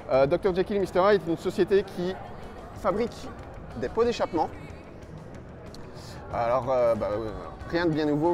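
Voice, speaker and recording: Guy doing chatty event commentary, talks right to viewer, voice a bit high, music behind. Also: a little mellow. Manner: chatty, addresses the viewer directly. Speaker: motorcycle magazine video presenter. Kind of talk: casual event report commentary.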